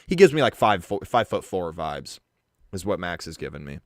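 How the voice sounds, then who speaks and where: Deep-voiced young man mocking bluntly. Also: very animated, fairly quick, no echo, clean studio sound. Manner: crude, mocking, swears a lot. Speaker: commentary streamer. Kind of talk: casual drama commentary.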